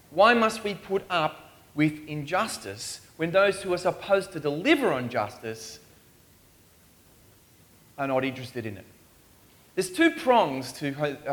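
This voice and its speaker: Male preacher in a church, earnest and pleading, voice a bit on the high side. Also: a bit bright. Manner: earnest, rising to pleading questions. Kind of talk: sermon. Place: church in Australia.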